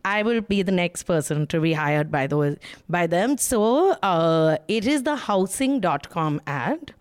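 A woman co-hosting a casual podcast, playful and quick to laugh. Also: on the low side, animated, clean tone. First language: Bengali is her second language. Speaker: podcast co-host. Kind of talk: casual chat.

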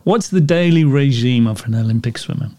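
A man's voice: medium pitch, fairly quick, dark tone, no room sound, clean studio sound. A man hosting an interview, asking questions in a jokey way and laughing as he goes. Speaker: podcast host. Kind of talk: casual interview.

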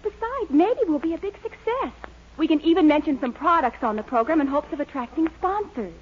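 An actress playing a supportive, scheming young sweetheart who encourages and coaxes, in 1940s radio sitcom style. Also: female voice, high-pitched, expressive, fairly quick. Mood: encouraging. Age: young adult. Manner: encouraging, coaxing. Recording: muffled, faintly hissy 1940s radio recording.

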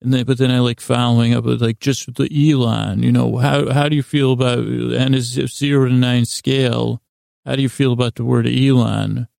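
A man hosting a bedtime podcast in a rambling monologue, halting and often interrupting himself as he wanders off on tangents. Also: medium pitch, little pitch movement, vocal fry, darkish tone, no room sound, studio quality. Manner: halting, digressive, mumbly self-interruptions. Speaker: podcast host.

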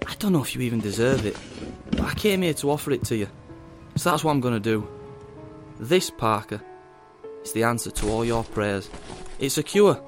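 A man's voice: medium pitch, lively intonation, moderate pace, long pauses, faint music behind, lightly acted. A man playing a smug, menacing government agent in an audio drama, speaking with condescending emphasis. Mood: smug, persuasive.

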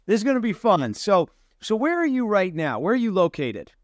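A man's voice: high voice, sing-song, fairly quick, no room sound, clean studio sound. A man hosting a podcast, who introduces his guest and asks friendly questions. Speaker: podcast host. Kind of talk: interview hosting.

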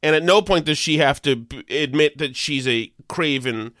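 A man's voice: a little high, normal speed, fairly bright tone, no room sound, clean studio sound. A man with a head cold and a nasal voice, co-hosting a casual chat and ranting with sarcastic emphasis. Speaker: podcast co-host.

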